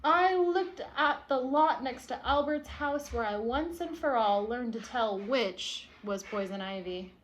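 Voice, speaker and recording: A woman's voice: on the high side, measured pace, few pauses, slight background noise, close to an everyday voice, poor audio. A woman reading the part of a stubborn, indignant young boy from a picture book, with emphatic delivery. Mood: wistful. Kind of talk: picture-book read-aloud.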